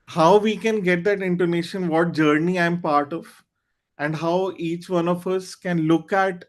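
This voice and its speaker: Man hosting an online club meeting. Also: sits high. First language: Hindi. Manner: earnest, candid, with fillers and restarts. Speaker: meeting host. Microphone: video call.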